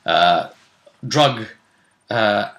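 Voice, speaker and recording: A man co-hosting a casual recap of a tabletop role-playing game, telling it haltingly with dramatic emphasis. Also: lowish voice, expressive, a bit bright.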